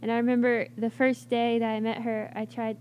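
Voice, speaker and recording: A woman's voice: a little high, little pitch movement, a little fast, a little mellow, slight background noise. A young woman hosting a college radio interview, chatty and bubbly, with lots of filler words. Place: radio studio.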